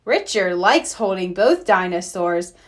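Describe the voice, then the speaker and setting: A woman narrating a children's storybook in an expressive way for young listeners. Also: on the low side, measured pace. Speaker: read-aloud storyteller.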